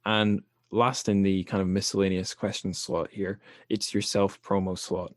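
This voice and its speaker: Male podcast host, very low voice, dry close mic, laid-back and wry. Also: moderate pace, no room sound. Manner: relaxed, wry, trails off quietly at sentence ends. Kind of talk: conversational interview.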